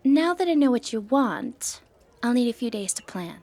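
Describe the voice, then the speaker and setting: Sly, flirtatious high-voiced thief played by a woman. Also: sing-song, normal speed, a little mellow. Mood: wry, confident. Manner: teasing, confident, wry. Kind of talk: ASMR roleplay acting.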